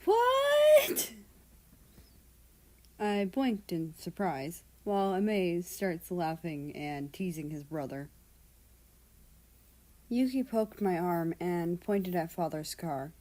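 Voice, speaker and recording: A woman narrating fiction as an amateur reader, expressive and unhurried, acting out dialogue as she goes. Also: lowish voice.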